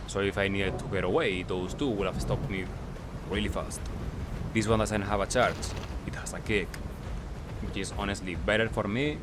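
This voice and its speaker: A man giving casual, explanatory gameplay commentary, halting and correcting himself as he reasons through his points. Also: fairly low pitch, fairly even intonation, noisy background. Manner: halting, self-correcting, asks and answers own questions. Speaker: gamer, video commentator.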